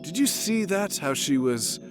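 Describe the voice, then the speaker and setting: A man playing a pompous, bumbling gentleman adventurer in a comedic sci-fi audio drama, with a florid, theatrical way of talking. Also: fairly high pitch, very animated, a little slow, music in the background. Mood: self-assured. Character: pompous, lovesick self-proclaimed gentleman space adventurer, bumbling and boastful.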